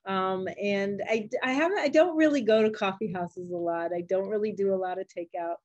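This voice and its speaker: A woman's voice: medium pitch, normal speed, darkish tone, no room sound, lo-fi sound. An older woman answering interview questions casually, in simple encouraging words meant for children. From Puerto Rico or Puerto Rican family. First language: Spanish and English. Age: older, a grandmother. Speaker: interviewed doctor.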